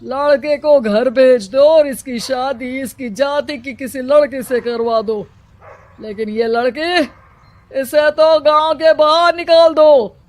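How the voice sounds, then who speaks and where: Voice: high-pitched, steady tone, a little hiss or hum. A performer voicing a stern, domineering village headman in a story, with a commanding, scolding manner. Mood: stern, decreeing. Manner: commanding, scolding, slow deliberate delivery. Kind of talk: dramatized moral story acting.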